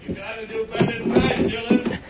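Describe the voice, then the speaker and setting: An actor playing a taunting, defiant outlaw in a 1950s western radio drama, jeering as if from a distance. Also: male voice, very high-pitched, completely flat delivery, a little fast. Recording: noisy, muffled old radio recording.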